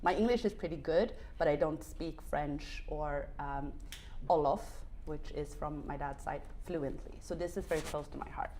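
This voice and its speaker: A woman's voice: sits low, moderate pace, warm tone, slight background noise. Woman moderating a live panel. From Sweden. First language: Swedish. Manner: informal, upbeat, with fillers.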